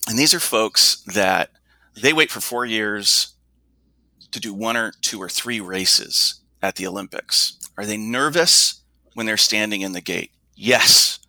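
A man working as a speaking coach, answering interview questions in an explanatory way and slowing down to stress his points. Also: on the low side, pushed and bright, dry recording, rough recording.

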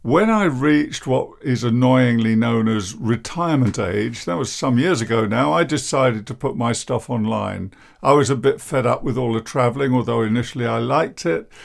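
Older male podcast host, deep gravelly croak, measured and downbeat, self-deprecating. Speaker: podcast host, therapist and coach. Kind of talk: reflective podcast monologue.

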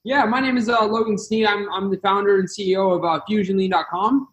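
High-voiced young man, earnest guest. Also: steady tone, fast talker, fairly bright tone. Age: 20s. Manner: earnest, repeats words as he starts sentences. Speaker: podcast guest. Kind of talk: interview answers.

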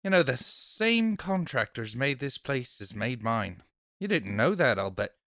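A man's voice: medium pitch, sing-song, measured pace, fairly bright tone, dry recording, clean studio sound. A man playing a nosy, chatty, stubborn off-duty policeman neighbor in a table read of an old radio horror play, with folksy, blustery speech.

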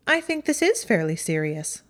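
A woman voicing a haughty, sharp-tongued teenage witch girl in a storybook reading, with a mocking, high-reaching delivery. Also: big pitch swings, normal speed. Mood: sardonic. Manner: mocking, acidic, voice rises high.

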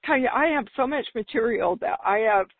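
Lady podcast host, bit raspy, upbeat n emphatic, dull lo-fi audio, very dry. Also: a little high, expressive, brisk, dry recording. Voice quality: slightly raspy. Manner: enthusiastic, emphatic, informal. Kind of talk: upbeat solo podcast talk. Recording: dull lo-fi radio-like sound.

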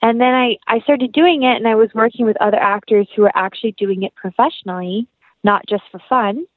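A woman's voice: on the high side, brisk, no room sound, narrow, tinny audio. A young American woman, an actress chatting as an interview guest in an earnest, effusive, rambling way. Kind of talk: casual interview chat, anecdotal.

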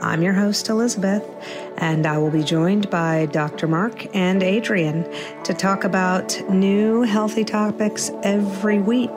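A woman's voice: mid-range voice, fairly even intonation, a little slow. A woman in her fifties hosting a podcast, opening the show and chatting cheerfully. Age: 50s. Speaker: podcast host. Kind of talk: podcast intro and casual chat. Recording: music behind the voice.

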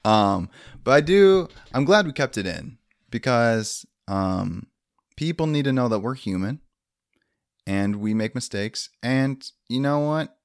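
A man's voice: mid-range voice, lively intonation, a little slow, no room sound, studio quality. A man co-hosting a comedy podcast in casual chat, joking wryly and with mock boasts. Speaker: podcast co-host.

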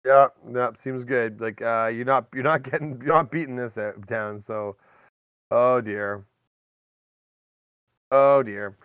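A man's voice: mid-range voice, steady tone, slow, pauses often, clear, resonant tone, no echo, lo-fi sound. A man hosting a podcast, telling stories in a casual, chatty way. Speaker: podcast host. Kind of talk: casual storytelling chat.

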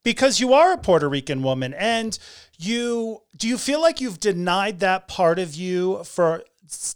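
A man's voice: sits high, clean tone. A man hosting a conversation, asking probing, reflective questions. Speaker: host. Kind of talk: interview conversation.

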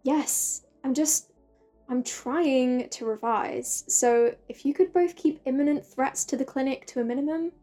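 A woman's voice: sits high, unhurried, mellow, faint music behind. A woman playing a stern, guarded, rule-minded doctor at a space clinic in an audio drama, clipped and formal. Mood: tense, guarded. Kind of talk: sci-fi audio drama acting.

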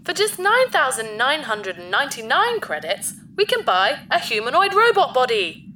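A woman's voice: sits high, very animated, normal speed, sharp tone, slight background noise, full character voice. An actress playing an eager, easily hurt female spaceship computer in a sci-fi audio drama. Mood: eager, pleading. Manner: speaks of herself in the third person.

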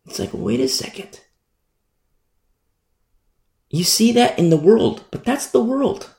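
A man preaching a sermon in an animated, repetitive style, acting out the people he criticizes. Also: extremely high pitch, moderate pace, creaky. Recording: echoey room sound.

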